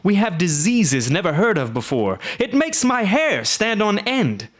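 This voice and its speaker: A man voicing a loud, gruff middle-aged castellan who speaks emphatically with sharp rises. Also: high voice, huge pitch swings, a little slow, voice pushed up, exaggerated voice. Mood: exasperated. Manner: exclaiming, emphatic, rising.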